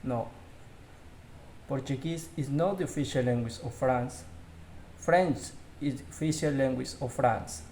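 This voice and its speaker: Slow, careful man practicing English drills. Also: medium pitch, a little mellow, slight background noise. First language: Spanish. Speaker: English learner. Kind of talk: reading English drill answers aloud.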